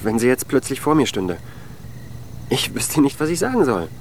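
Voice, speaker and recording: A man's voice: medium pitch, big pitch swings, moderate pace, slight background noise. An actor playing a brooding, uncertain man in love, earnest and hesitant, in a naturalistic radio drama.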